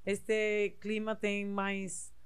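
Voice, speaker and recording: Clear-voiced woman with a Spanish accent. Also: medium pitch, monotone. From southern Spain. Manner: halting non-native Portuguese mixed with Spanish words. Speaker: interview guest, body piercer. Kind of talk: casual conversational storytelling.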